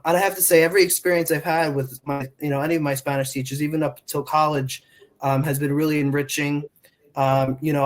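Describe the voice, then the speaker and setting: An earnest man in his twenties, a Spanish teacher who grew up in New York speaking Portuguese at home. He talks with a voice a little higher than most men's, circling his points with fillers and restarts in a relaxed interview. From New York, United States. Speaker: middle school Spanish teacher. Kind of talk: casual interview answers.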